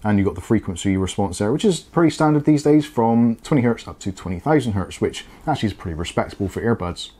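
A man's voice: on the low side, lively intonation, quick pace, few pauses, dark tone, husky. A man in his forties presenting a casual product review to camera, chatty and explanatory in manner. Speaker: tech review host. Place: small indoor home studio with brick-look wall.

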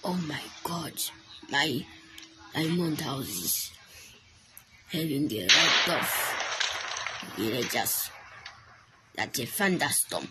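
A woman's voice: rumbling low, very animated, slow, pauses often, very noisy. A woman casually commenting on her own building game, often dropping into whispers, recorded on a phone. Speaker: gameplay channel host. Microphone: phone mic.

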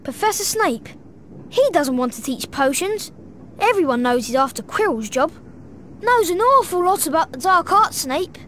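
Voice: very high-pitched, very animated, moderate pace, a bit bright, a little hiss or hum, heavily acted. A performer with a British accent playing a chatty eleven-year-old schoolboy sidekick in a video game, gossipy and eager. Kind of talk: video game character acting.

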